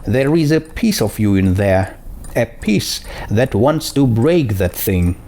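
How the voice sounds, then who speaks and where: A man's voice: mid-range voice, lively intonation, slow, dark tone, slight background noise. A man voicing a worried young male sidekick in a storybook reading, earnest and insistent. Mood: challenging.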